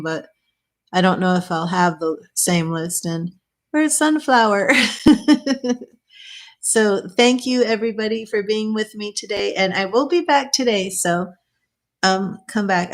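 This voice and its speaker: Hoarse-edged woman doing a tarot reading. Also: mid-range voice. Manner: chatty, thinking aloud, with small laughs. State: losing her voice, clearing her throat. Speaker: tarot reader. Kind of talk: live-streamed tarot reading.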